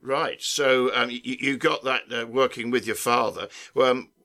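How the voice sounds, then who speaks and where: A man hosting an interview, speaking haltingly with frequent restarts and stammers. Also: mid-range voice, vocal fry, sharp tone. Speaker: interviewer host.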